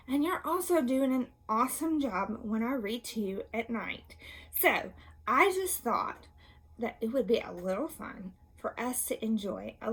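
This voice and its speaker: A woman teacher reading aloud to young children, asking questions, answering them herself and offering encouragement. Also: on the high side. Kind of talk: read-aloud and question-and-answer lesson for kids.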